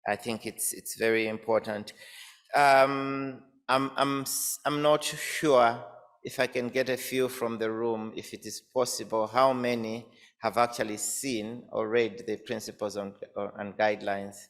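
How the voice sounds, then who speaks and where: A man's voice: medium pitch, fairly even intonation, a little slow, a bit bright. A man from Zambia presenting as an academic, hesitant with many fillers and repeated words. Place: conference hall. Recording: reverberant conference hall, off-mic at times.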